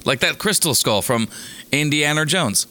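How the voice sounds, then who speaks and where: A hoarse man with a raspy voice, chatting casually as a podcast co-host, rambling and joking with many restarts. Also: a little high, lively intonation, normal speed, sharp tone, a little hiss or hum. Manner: rambling, jokey, restarts a lot. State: hoarse from singing. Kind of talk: casual chat.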